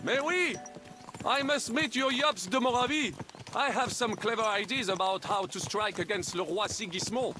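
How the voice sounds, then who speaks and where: An actor playing a worldly, pragmatic French mercenary in a medieval video game, lecturing in a French accent peppered with French phrases. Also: male voice, sits high, moderate pace. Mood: eager. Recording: loud game music behind.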